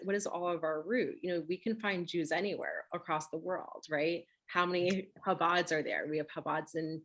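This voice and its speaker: Woman, Russian native speaker, slightly low pitch, relaxed conversational webinar guest on a video call. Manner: casual, peppers speech with "right?" and "you know".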